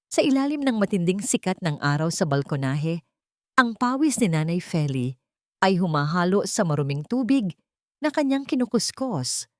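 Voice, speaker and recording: A woman's voice: deep voice, a little mellow, dry recording, studio quality. A woman narrating an emotional audio story in a dramatic, scripted style.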